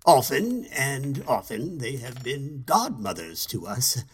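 A man voicing a mild, timid, kindly storybook king with a husky voice and a polite, hesitant manner. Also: mid-range voice, very animated, slow, exaggerated voice. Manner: polite, hesitant, gently coaxing. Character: mild, timid, kindly old-fashioned fairy-tale king.

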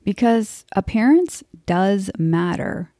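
A woman's voice: medium pitch, expressive, takes it slowly, mellow, no room sound, studio quality. A woman in her forties hosting a podcast, talking in a frank, blunt and wry way. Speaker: podcast host. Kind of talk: scripted podcast monologue.

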